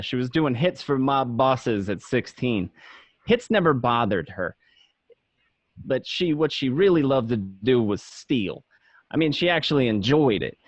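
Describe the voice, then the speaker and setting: A nostalgic gangster looking back on mob life, played by a man in first-person radio drama narration. The voice is mid-pitched, recorded dry and slightly dull at home. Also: dry recording, close to an everyday voice. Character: nostalgic male gangster narrating his youth among mobsters.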